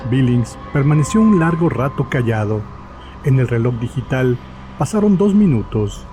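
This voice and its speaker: A man's voice: medium pitch, sing-song, moderate pace, music in the background. A man narrating a scripted horror story as its storyteller, between the characters' lines.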